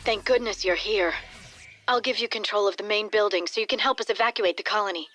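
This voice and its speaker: An actress playing a gentle, anxious female doctor pleading for help for her colony. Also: mid-range voice, brisk, faint music behind, natural voice.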